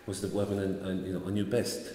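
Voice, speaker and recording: A man in his thirties from Italy, speaking English with an Italian accent touched by Scottish, relaxed as an interview guest. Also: low-pitched, quick pace. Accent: Italian with a Scottish tinge. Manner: relaxed, gesturing while he talks. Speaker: sword maker, interview guest. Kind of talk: casual interview answers. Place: large bare hall, indoors. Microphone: far camera. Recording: echoey hall with faint noise.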